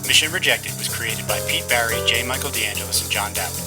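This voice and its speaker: A man's voice: medium pitch, brisk, over loud music. A man reading scripted end credits as an announcer.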